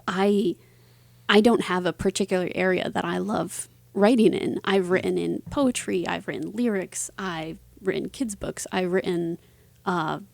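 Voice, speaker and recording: A woman's voice: medium pitch, vocal fry, a little mellow, dry recording. A woman speaking as an interview guest, answering in a casual, chatty, conversational way. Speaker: interview guest, author and publisher.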